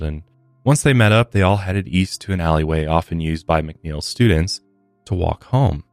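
An American man with a deep voice narrates true crime as a podcast host. He is somber, mixing prepared storytelling with casual asides. The sound is dry, with faint music underneath. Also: darkish tone, no echo.

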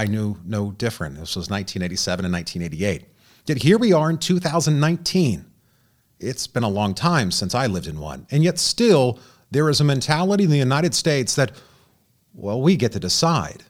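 A man hosting a studio interview, reading a guest's bio and then asking earnest questions with frequent restarts. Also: medium pitch. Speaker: host.

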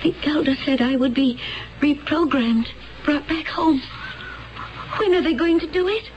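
A muffled, noisy old radio drama recording carries a high female voice. a young woman from another planet, earnest and solemn, who speaks with strained intensity and takes everything literally. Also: a little slow. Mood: distressed pleading. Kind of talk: 1970s radio drama acting.

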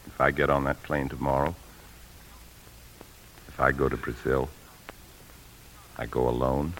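Deep-voiced, desperate American husband, radio drama. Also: male voice, little pitch movement, vocal fry, fairly bright tone, full character voice. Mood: pleading. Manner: argumentative, emotional, halting under strain. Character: desperate husband who stole from his bank, self-justifying. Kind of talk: 1950s radio drama acting. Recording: noisy old 1950s radio broadcast.

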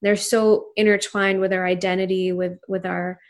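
A woman's voice: medium pitch, little pitch movement, moderate pace. Woman in her 30s, native Urdu speaker, entrepreneur guest; reflective, earnest, lots of "you know". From Pakistan. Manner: earnest, reflective, many fillers and restarts. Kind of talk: casual interview conversation.

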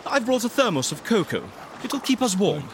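A man's voice: high-pitched, big pitch swings, normal speed, noisy background. An actor playing a cheery, coaxing man on a chilly outing in an audio drama. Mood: eager, cheery. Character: eager, cheery, coaxing male monster-watcher on chilly outing.

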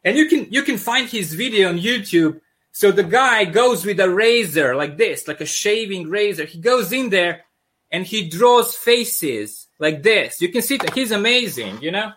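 High-voiced man with a thick Serbian accent. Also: normal speed. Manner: animated, joking storyteller with many restarts. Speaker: comic artist guest.

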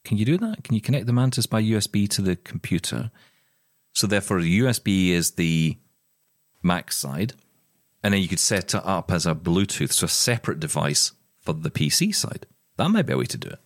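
A man with a very low, deep voice co-hosts a casual tech podcast. He talks with a lot of swing in his pitch, thinking aloud and restarting sentences. The sound is dry and close, with no room around it. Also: very animated, fairly quick, no echo. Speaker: podcast co-host.

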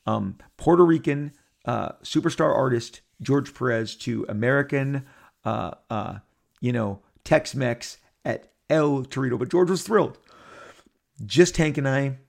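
Man, 50s, podcast host, casual storytelling, rambling, animated, gravelly voice. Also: medium pitch, a little slow. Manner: animated, rambling, repeats and restarts, quotes others in character.

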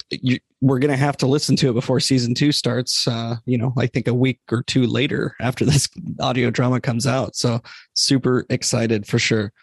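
A man with a medium-pitched voice chats casually as a podcast guest. The recording is dry and free of room sound. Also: no echo. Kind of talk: casual chat.

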